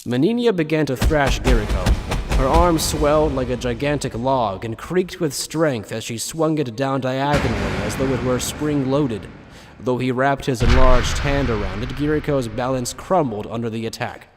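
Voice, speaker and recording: A man's voice: mid-range voice, normal speed, noisy background. A man reading scripted dramatic narration for an anime fan dub.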